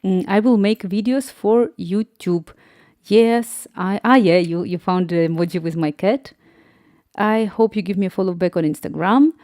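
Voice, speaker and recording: A woman's voice: medium pitch, normal speed, warm tone, no echo, clean studio sound. A young woman from Ukraine with a Slavic accent, chatting casually on a live stream, laughing often and restarting words as she answers viewers.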